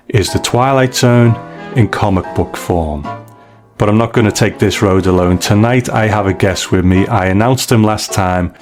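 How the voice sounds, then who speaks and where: Low-voiced man hosting a podcast. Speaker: podcast host. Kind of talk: relaxed conversational podcast hosting.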